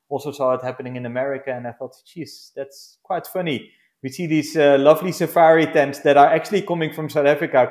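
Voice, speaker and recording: A man with a Dutch accent, a glamping business founder answering interview questions in a casual, hesitant way, often pausing to find words. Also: mid-range voice, a little mellow. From Netherlands. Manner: hesitant, searching for words with many pauses.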